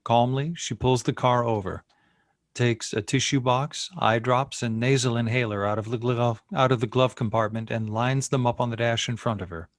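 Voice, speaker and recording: A man of medium pitch narrates screenplay directions. He reads in short, matter-of-fact phrases, recorded very dry and close. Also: fairly even intonation, normal speed, dry recording. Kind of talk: scripted narration at a screenplay table read.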